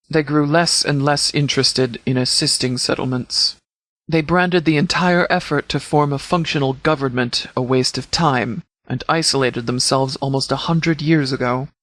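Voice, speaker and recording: A man playing a stern, protective post-apocalyptic sheriff in a video game, speaking in a formal, deliberate, fatherly way. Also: a little high, a bit bright, no room sound, natural voice. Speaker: video game mod character actor. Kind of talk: scripted game dialogue.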